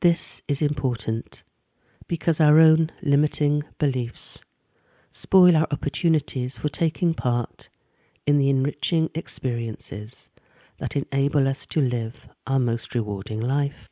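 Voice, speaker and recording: A man gently narrating a guided meditation in a calm, measured way. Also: mid-range voice, dry recording. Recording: dry, tinny phone-like sound.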